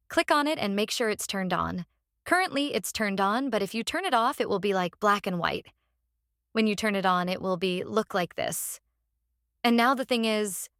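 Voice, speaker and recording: A woman who speaks English as a second language hosts a step-by-step software tutorial in a halting, repetitive instructional way. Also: mid-range voice, dry recording, studio quality. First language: non-native English speaker. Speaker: tutorial host.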